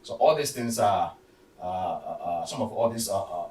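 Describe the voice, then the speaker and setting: A man with a fairly deep voice talks sport without a script. He stammers and doubles back on words, hesitating often. The sound is roomy and slightly distant, with faint noise. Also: expressive, a little mellow. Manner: halting, stammering, repeating words. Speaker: football discussion panellist. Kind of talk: unscripted sports discussion.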